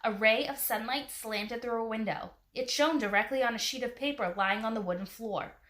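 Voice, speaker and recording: A woman reads a children's adventure book aloud as the storyteller. Her voice is on the higher side as she narrates. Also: moderate pace, a bit bright. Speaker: storybook reader.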